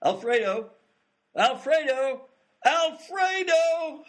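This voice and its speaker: A man plays an old variety stage announcer in a radio comedy. His pitch is high and swoops widely, with a showy delivery that flusters easily. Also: sing-song, measured pace. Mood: flustered. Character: excitable male 1920s variety show announcer.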